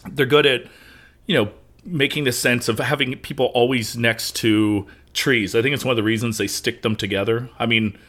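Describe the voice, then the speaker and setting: A man's voice: mid-range voice, steady tone, normal speed, a bit bright. A man co-hosting a podcast, chatty and analytical, joking while he discusses a movie. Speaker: podcast co-host.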